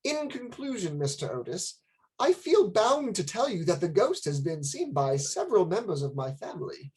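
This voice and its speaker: A grave lord in a radio drama. The voice is husky and widely inflected, with courteous, stately phrasing and a formal manner. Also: male voice, high voice, very animated, moderate pace, a little mellow, exaggerated voice. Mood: solemn warning. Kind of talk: radio play acting.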